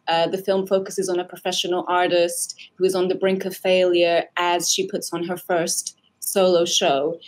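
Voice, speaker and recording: Woman, low and flat voice, calm and measured; student filmmaker guest. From Portugal. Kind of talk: interview answer.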